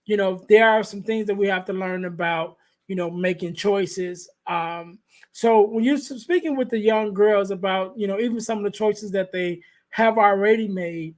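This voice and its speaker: A man with a light voice hosting a podcast interview, talking softly and loosely with lots of fillers. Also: high-pitched, moderate pace. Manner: soft-spoken, rambling with many fillers. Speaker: podcast host. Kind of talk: casual interview chat.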